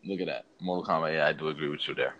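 A man's voice: extremely low pitch, very fast, fairly bright tone, muffled recording. A man co-hosting a podcast in casual chat, talking in a slangy, emphatic, rambling way. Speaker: podcast co-host. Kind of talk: casual chat ranking bad video game movies.

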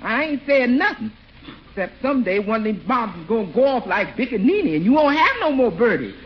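Grumbling cook, high animated voice. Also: female voice, fairly quick. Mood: stubborn, indignant. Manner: folksy, complaining, emphatic repetition. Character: grumbling, put-upon household cook, comically stubborn woman. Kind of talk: 1940s radio comedy acting. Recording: dull, noisy 1940s radio recording.

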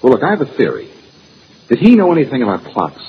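Brash, animated old-radio detective. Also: male voice, medium pitch. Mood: probing, sympathetic. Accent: American. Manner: brisk, punchy, hard-boiled. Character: brash, wisecracking amateur detective, tough and confident. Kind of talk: 1940s radio detective drama acting. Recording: muffled, noisy old radio recording.

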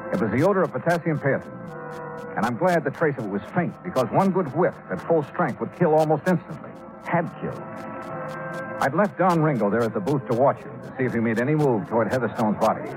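An American actor playing a tough, probing insurance investigator in a 1950s radio drama, clipped and commanding. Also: male voice, mid-range voice, expressive, brisk, music in the background, close to an everyday voice, low-quality recording. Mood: grim. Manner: clipped, commanding, hard-boiled. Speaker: narrator and lead actor. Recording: 1950s radio broadcast recording.

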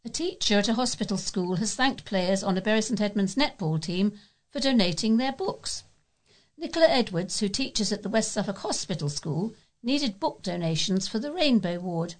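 A woman with a British accent reads local news articles aloud as a volunteer newsreader. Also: on the high side.

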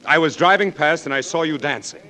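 An actor playing a pompous, imperious, scolding gentleman employer in a 1940s radio drama. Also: a little high, brisk. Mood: indignant, imperious. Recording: 1940s radio broadcast recording.

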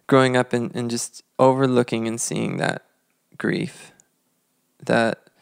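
A man in his thirties co-hosting a podcast, telling history in a measured, reflective, conversational way. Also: medium pitch, fairly even intonation, gravelly, smooth, no echo, clean studio sound. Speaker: podcast co-host.

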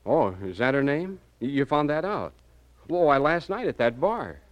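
An actor playing a nervous young husband in a 1950s radio crime drama, stammering and evasive. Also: male voice, fairly high pitch, big pitch swings, moderate pace, dull audio. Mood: uneasy. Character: nervous young married man hiding trouble from his wife.

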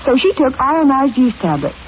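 An actress playing a chatty, concerned friend in a 1940s radio commercial. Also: female voice, high-pitched, big pitch swings, fairly quick. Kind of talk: 1940s radio advertisement acting. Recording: muffled, hissy old radio recording.

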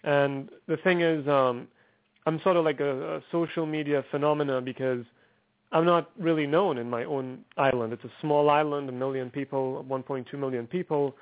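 A man's voice: on the high side, steady tone, normal speed. Man on a tinny phone line. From Trinidad and Tobago. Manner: rambling, filler-heavy, upbeat. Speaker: guest musician. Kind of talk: casual phone interview.

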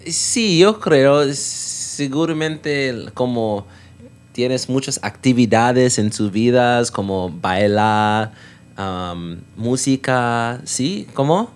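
A man with English as his first language talks slowly and unhurriedly in a second language. He pauses often, fills gaps with "am" and "ah", and corrects his own grammar as he goes. His pitch sits in an ordinary middle range. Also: lively intonation. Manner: halting learner's Spanish with many fillers and self-corrections. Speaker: podcast guest. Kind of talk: casual chat.

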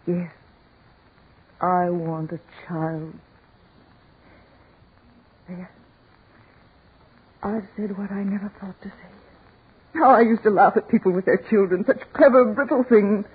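A woman's voice: fairly low pitch, husky, exaggerated voice. An actress playing a dying woman, rapturous and yearning, in the breathless, theatrical style of 1940s radio melodrama. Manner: breathless, fervent, theatrical delivery. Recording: muffled, tinny 1940s radio recording with music.

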